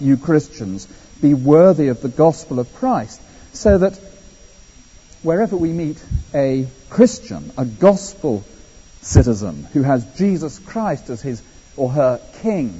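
An English man preaching a sermon, animated and conversational, with personal asides. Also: fairly high pitch, breathy edge. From England. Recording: dull echoey hall.

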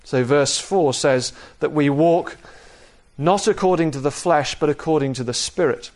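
A man preaching an expository sermon in a measured, explanatory manner, repeating key phrases for emphasis. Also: a little high, a little mellow. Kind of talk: sermon, expository Bible teaching.

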